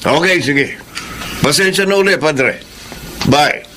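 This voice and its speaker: An actor playing a disapproving, resentful middle-aged Filipino father in a radio drama, speaking in a measured, emphatic way. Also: fairly high pitch, noisy background. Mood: frustrated, apologetic.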